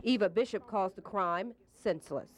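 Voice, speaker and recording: A woman's voice: mid-range voice, moderate pace. A woman narrating a scripted television news package as a reporter. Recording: faint background noise.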